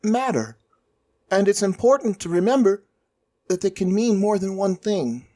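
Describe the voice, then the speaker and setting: A man playing a young boy prophet in audio fiction, earnest, thoughtful and gentle. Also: high voice, lively intonation, moderate pace. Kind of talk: audio fiction character acting.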